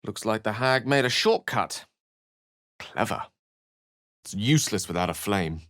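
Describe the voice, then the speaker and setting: An actor playing an eloquent, wry wizard companion in a fantasy video game, with articulate, theatrical phrasing. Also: male voice, lowish voice, lively intonation, a little slow, a bit bright, no echo, clean studio sound. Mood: wry, thoughtful. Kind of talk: fantasy game dialogue and narration.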